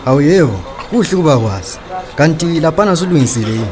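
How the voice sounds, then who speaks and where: An actor playing a streetwise, sarcastic creditor in a radio drama, confrontational and taunting, with a Zimbabwean accent. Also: male voice, fairly high pitch, animated, rapid-fire, music in the background, close to an everyday voice. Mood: probing, sly. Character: streetwise, sarcastic male creditor demanding his money.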